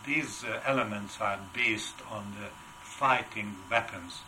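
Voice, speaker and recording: An older man with a heavy Hungarian accent, answering interview questions in a halting way with many filler sounds. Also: on the low side, noisy background. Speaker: wood carver, interview guest. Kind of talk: casual interview.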